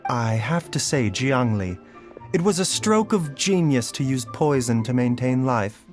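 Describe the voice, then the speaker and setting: An actor playing a calm, secretive doctor in a video game, speaking in a measured, reassuring way. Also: male voice, mid-range voice, faint music behind, natural voice. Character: calm, secretive male doctor, gentle and composed. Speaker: game character.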